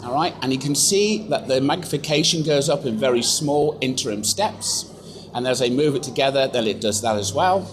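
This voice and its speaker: An English man giving a chatty, self-deprecating live software demonstration to a conference audience, with lots of fillers. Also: medium pitch, expressive, normal speed. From England. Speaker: software presenter. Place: conference room.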